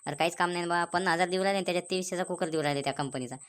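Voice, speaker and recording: A woman's voice: on the low side, rapid-fire, clear, resonant tone, natural voice. A woman playing a skeptical, nagging villager in a comic cartoon, chattering warnings in a Vidarbha Marathi accent. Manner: chattering, admonishing.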